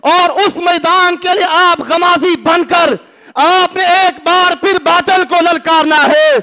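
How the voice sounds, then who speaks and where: Very high voiced pakistani man preaching slow and fiery at a lectern, shouting lines in a big hall. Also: level tone. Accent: Pakistani Urdu. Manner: impassioned, rising to shouts, rhetorical questions. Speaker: religious party speaker. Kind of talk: fiery rally speech. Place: large packed hall, indoors. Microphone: lectern mic.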